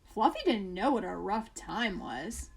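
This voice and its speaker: A woman reading a children's picture book aloud as a bedtime story, with expressive emphasis and casual asides. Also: medium pitch, a little slow, gravelly, breathy edge.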